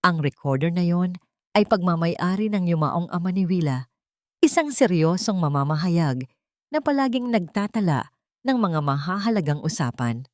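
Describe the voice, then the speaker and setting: A woman's voice: on the low side, sing-song, normal speed, no room sound. A woman narrating a scripted story in a dramatic storytelling style.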